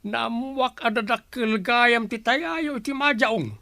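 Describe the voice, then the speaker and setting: A man acts a grouchy older Ilocano father in a radio drama. His voice is high and sharp, captured dry and close. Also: slow, vocal fry, no echo, heavily acted. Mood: grumbling, defensive.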